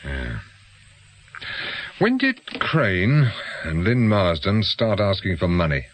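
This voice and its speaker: Blunt ex-cop with animated delivery. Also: male voice, low voice, takes it slowly, exaggerated voice. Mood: probing. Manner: terse, pressing questions, plain colloquial speech. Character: blunt, probing ex-policeman turned amateur investigator. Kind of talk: naturalistic radio drama acting.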